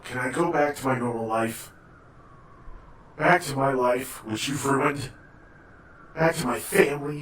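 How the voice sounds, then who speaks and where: A slow-talking, embittered old man played by a male actor in a horror audio drama. He is a haunted father, worn out and anguished, pleading in halting phrases over audible background noise. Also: mid-range voice, a bit bright, rough recording. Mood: bitter, weary. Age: older. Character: weary, bitter old father haunted by cursed mask.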